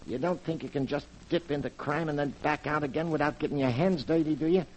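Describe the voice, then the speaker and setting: An actor playing a threatening gangster blackmailer who talks in tough, clipped street slang, in a 1940s radio drama. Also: male voice, on the high side, quick pace, a little mellow, lightly acted. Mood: menacing. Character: menacing male gangster blackmailer, cold and threatening. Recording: muffled 1940s radio transcription.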